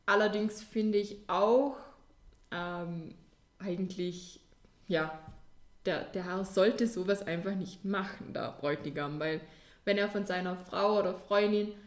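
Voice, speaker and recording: A woman's voice: mid-range voice, fairly even intonation. Thoughtful woman, echoey room. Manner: measured, thoughtful with fillers. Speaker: podcast co-host. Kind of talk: casual chat. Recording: roomy echo.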